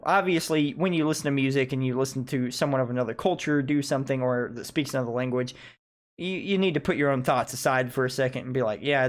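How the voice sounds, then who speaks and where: Man giving halting casual commentary. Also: mid-range voice. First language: English. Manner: halting, thinking aloud, analytical. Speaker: music reaction video host.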